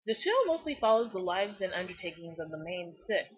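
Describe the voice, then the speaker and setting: Animated, sarcastic young woman, slightly dull mic. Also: fairly low pitch, fairly quick, husky. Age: young adult. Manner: sarcastic, exaggerated, profane. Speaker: host of a fan video series. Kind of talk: scripted fan commentary.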